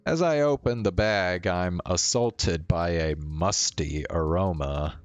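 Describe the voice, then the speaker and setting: Slow, low-voiced man reading aloud. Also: dry recording, muffled recording, faint music behind. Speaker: streamer reading a visual novel aloud. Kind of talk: narration of a visual novel.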